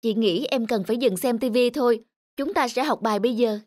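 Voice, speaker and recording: A woman's voice: on the high side, a little fast, a little mellow, no room sound, studio quality, natural voice. A woman as an earnest young female tutor. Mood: firm. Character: polite, conscientious young student tutor, female. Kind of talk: cartoon voice acting.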